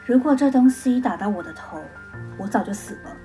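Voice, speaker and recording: A woman playing a cool, determined young student who questions people in a pointed, deliberate way in a mystery radio drama. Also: on the high side, expressive, warm tone, faint music behind, natural voice. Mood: analytical. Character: cool, determined young female student investigating her attack.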